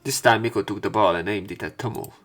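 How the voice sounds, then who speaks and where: A man's voice: lowish voice, fast talker, fairly bright tone. A man reading visual novel narration aloud as a let's player, with casual commentary.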